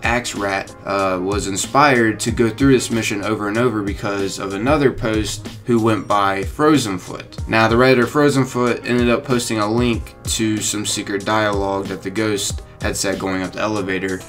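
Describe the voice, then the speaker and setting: Low-pitched young man doing gaming commentary. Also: fairly even intonation, normal speed, hardly any pauses, fairly bright tone. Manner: chatty, informal. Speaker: gaming YouTuber, host. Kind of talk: casual video commentary to viewers. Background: light music.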